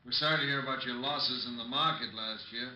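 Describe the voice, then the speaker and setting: A condescending businessman in a vintage radio drama. His voice is very bright and piercing, set in an echoey room. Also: medium pitch, quick pace. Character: blunt, patronizing male business host. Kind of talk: 1940s radio acting.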